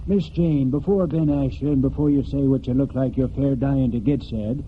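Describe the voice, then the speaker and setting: An actor playing a kindly small-town judge in 1940s radio drama, courteous and folksy with a hesitant delivery. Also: male voice, mid-range voice, moderate pace, close to an everyday voice. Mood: gently firm. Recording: muffled, noisy 1949 radio recording.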